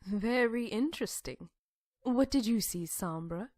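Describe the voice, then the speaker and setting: A woman playing a calm, wise pony princess, composed and gently instructive, in a cartoon audio drama. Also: on the high side, unhurried, no echo, studio quality. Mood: knowing.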